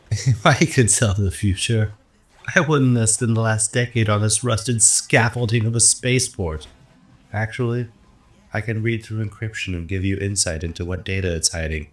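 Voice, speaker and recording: A man playing a roguish, smooth-talking fortune teller in a radio drama, sly and teasing. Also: on the low side, close to an everyday voice. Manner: sly, teasing, unruffled salesman patter.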